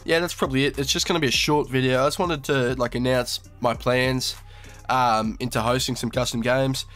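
A man's voice: medium pitch, steady tone, fairly quick, a bit bright, soft background music. Sweary Australian young man, a bit nasal. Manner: crude, swearing, laid-back slang. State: slightly nasal from a cold. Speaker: gaming YouTuber. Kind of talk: casual commentary over gameplay.

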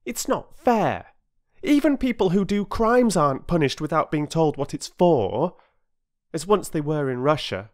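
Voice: medium pitch, very animated, a little slow, voice pushed up. A narrator voicing an anxious, forthright schoolboy from a children's storybook, protesting in a well-spoken way. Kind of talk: children's storybook character reading.

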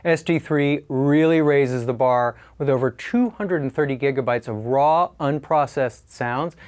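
A man in his forties giving a scripted product review in a measured, clearly articulated presenter style. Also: medium pitch, a little mellow.

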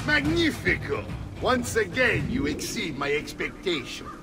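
An actor playing a hearty middle-aged Italian uncle who leads mercenaries in a video game, booming and theatrical, with an Italian accent. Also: male voice, very animated, measured pace, gravelly, exaggerated voice. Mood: commanding. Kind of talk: video game cutscene acting. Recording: reverberant, game music behind.

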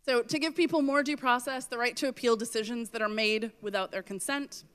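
A woman's voice: a little high, steady tone, fairly quick, a bit bright, clear, resonant tone. A woman in her thirties giving a festival talk on stage with a handheld mic, losing her voice, earnest and self-correcting. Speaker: festival speaker. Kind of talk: conference talk. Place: large festival tent stage.

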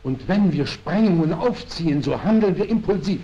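A man's voice: high-pitched, expressive, normal speed, mellow. A man reading a play as a fervent young nationalist militant, pressing and emphatic, in a historical recording. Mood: urgent. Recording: dull historical archive recording.

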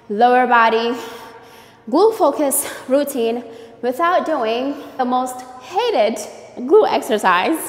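Slow-talking coaching woman in echoey gym. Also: fairly high pitch. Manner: upbeat and coaching, with frequent "okay?" and "right?" check-ins. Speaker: fitness coach. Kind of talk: workout tutorial. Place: large gym room with glass walls. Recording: echoey room, distant mic, faint music.

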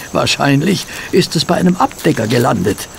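Knarzender, gutmütiger Doktor. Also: male voice, medium pitch, expressive, moderate pace, creaky, background noise. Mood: mildly chiding. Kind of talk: radio play acting. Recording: outdoor insect and animal ambience behind.

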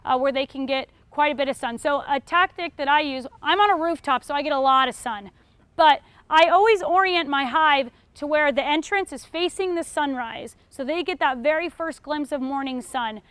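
Young American female teacher, high-pitched, informal Q&A lecture delivery, dry recording. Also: normal speed, a bit bright, no echo. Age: young adult. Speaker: beekeeping class instructor. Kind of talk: informal lecture answering audience questions. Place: retail store aisle in front of a seated audience.

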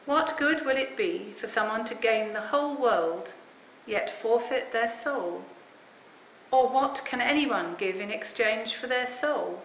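A woman vicar giving a slow, measured reading of scripture and a sermon with clear emphasis. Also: a little high, animated, fairly bright tone, reverberant, a little hiss or hum, low-quality recording. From Wales.

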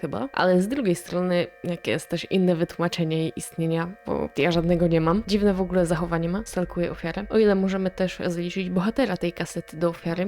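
A woman giving casual reaction commentary, reading text aloud and thinking out loud in a chatty, inquisitive way. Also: lowish voice. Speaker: video creator reacting to analog horror.

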